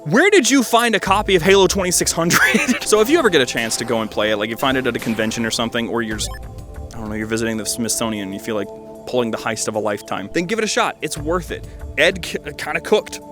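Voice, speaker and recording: Enthusiastic man with a slightly low voice. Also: expressive, fast talker, over music. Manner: enthusiastic, emphatic, repeats words for emphasis. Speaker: host of a video game review channel. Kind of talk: casual video commentary.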